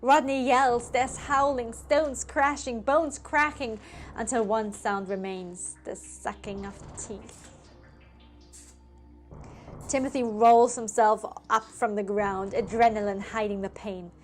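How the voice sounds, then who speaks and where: A woman's voice: on the high side, steady tone, takes it slowly. A woman storyteller reading a scripted tale in a theatrical, suspenseful manner. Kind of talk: dramatic scripted story narration. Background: music.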